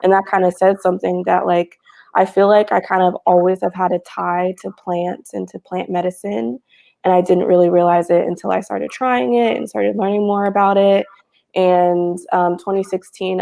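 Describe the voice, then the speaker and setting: Young woman panelist on a video call, gravelly and warm, chatty. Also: on the low side. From Houston, Texas. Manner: chatty, frequent fillers. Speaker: cannabis marketing panelist. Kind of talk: casual panel chat.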